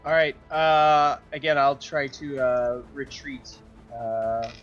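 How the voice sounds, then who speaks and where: A man playing a tabletop role-playing game, chatting casually and thinking out loud with some swearing. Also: medium pitch, slow, lo-fi sound, faint music behind.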